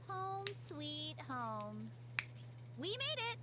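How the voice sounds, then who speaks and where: A woman voicing a sweet, easygoing and dramatic young fighter in an animated video game. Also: very high-pitched, drawn-out words, exaggerated voice. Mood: relaxed, welcoming.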